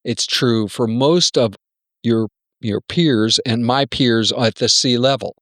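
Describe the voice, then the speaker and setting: A man's voice: medium pitch, slow pace, fairly bright tone, no room sound, clean studio sound. A man playing a wary, self-protective senior executive in an amateur radio play, talking in a blunt, cautioning, persuasive way.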